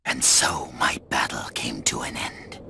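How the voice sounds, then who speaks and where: A man voicing a brash, defiant goblin warrior in a video game, gravelly, with a cocky, dry manner. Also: rumbling low, unhurried, whispered, full character voice. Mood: wry, reflective. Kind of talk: dramatic video game cutscene acting. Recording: music behind the voice. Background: game soundtrack music.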